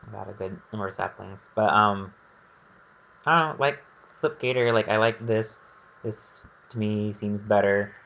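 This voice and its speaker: A man's voice: fairly low pitch, moderate pace, gravelly, fairly bright tone, lo-fi sound. A man giving casual, rambling gameplay commentary, thinking aloud in a candid and hesitant way. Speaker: gaming video creator.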